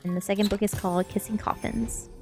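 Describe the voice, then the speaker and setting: A woman's voice: mid-range voice, fairly even intonation, fast, mellow, faint music behind. A woman streamer reading a book ASMR style and chatting casually with viewers in a playful way. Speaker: VTuber streamer.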